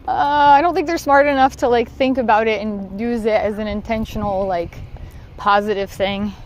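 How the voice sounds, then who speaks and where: A woman's voice: on the high side, measured pace, long pauses, slight background noise. A woman with a Southern Californian accent, chatting casually and candidly to livestream viewers, swearing now and then. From Southern California, United States. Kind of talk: casual unscripted livestream chat. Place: outdoors while walking.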